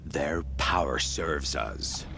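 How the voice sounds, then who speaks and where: A man's voice: very deep voice, lively intonation, gravelly, pushed and bright, heavily acted. An actor voicing a zealous villain in a video game who exults in raising machines against his enemies. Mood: gloating. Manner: slow, gloating, hissing.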